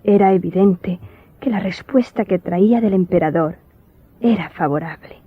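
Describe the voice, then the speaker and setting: An actress playing a grieving daughter who solemnly tells her tragic story in a radio drama, in a measured way. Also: fairly low pitch, slightly rough, no room sound, phone-quality sound. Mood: solemn, tense.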